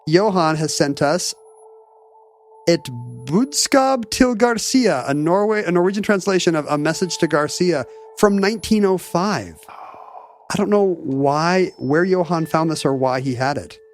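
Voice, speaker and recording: Middle-aged male podcast co-host, snarky and dry, fairly high voice, soft music under him. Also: expressive, a little slow. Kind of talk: casual chat.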